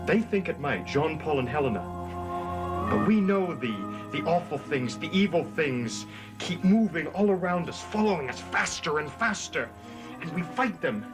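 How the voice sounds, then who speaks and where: Actor as an earnest, high-voiced lovesick young man. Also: lively intonation, normal speed, music in the background, natural voice. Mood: brooding, urgent. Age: young adult. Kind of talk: 1970s gothic soap opera acting.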